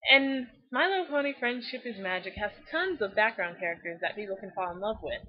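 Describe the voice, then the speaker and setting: A woman's voice: mid-range voice, expressive, brisk, slightly rough. A young woman hosting a fan video with scripted commentary, sarcastic, exaggerated and profane, on a lo-fi mic. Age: young adult. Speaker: host of a fan video series.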